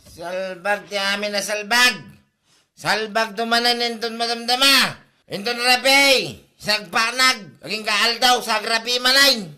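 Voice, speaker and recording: A woman's voice: a little high, unhurried, soft background music. A woman voicing a rowdy drunk middle-aged man who slurs and laughs in an amateur comedy audio drama. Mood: drunken rowdy. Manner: slurred, laughing, shouting.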